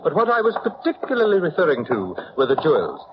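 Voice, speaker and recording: Prim, swooping old-radio butler. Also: male voice, on the high side, huge pitch swings, fast. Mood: inquisitive. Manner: formal, deferential, arch. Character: prim, nosy, formal household butler. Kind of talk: 1950s radio drama acting. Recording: noisy, dull old radio transcription.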